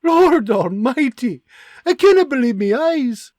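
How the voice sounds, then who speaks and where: A man voicing a shrill farm mother. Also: sing-song, measured pace, darkish tone, no room sound, clean studio sound. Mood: alarmed. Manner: shrill, scolding, exclaiming. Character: fiery Scottish farm mother, quick-tempered, loving. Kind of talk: audiobook character voice.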